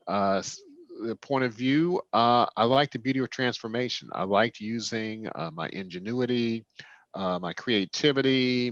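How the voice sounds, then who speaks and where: A man in his fifties from Washington, D.C. speaks in a very low voice, thinking aloud with frequent pauses and restarts as he answers. The recording sounds dull and muffled, with a dry, close quality. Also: a bit bright, dry recording. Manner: thoughtful, many fillers and restarts. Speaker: guest, real estate developer. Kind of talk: casual interview answers.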